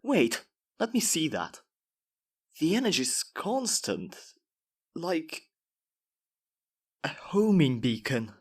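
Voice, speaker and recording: An actress playing an anxious, emotional stranded time-traveller with a low, husky voice in audio drama dialogue. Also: female voice, sing-song, slow pace, long pauses, darkish tone, heavily acted. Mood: questioning.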